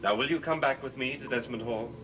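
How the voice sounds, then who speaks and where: An actor playing the commanding, tormented master of a cursed manor in a 1960s gothic soap opera, stern and theatrical. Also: male voice, medium pitch, fast, background noise, close to an everyday voice. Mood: commanding, urgent.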